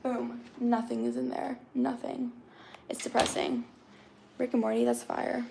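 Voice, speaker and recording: A raspy, gravelly-voiced woman in her twenties, a bit hoarse, chatting casually through a livestream. She talks a little higher than most women and peppers everything with "like". Faint background noise sits under her voice. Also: flat delivery, a little slow. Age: 20s. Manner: chatty, lots of fillers like "like". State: slightly hoarse.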